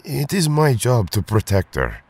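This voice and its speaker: An actor playing an earnest, protective big-brother superhero in an audio drama, speaking formally and solemnly. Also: male voice, mid-range voice, wildly swooping pitch, measured pace, darkish tone, husky, heavily acted. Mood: solemn, sorrowful. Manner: formal, uncontracted speech. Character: earnest, solemn foreign strongman hero, protective older brother.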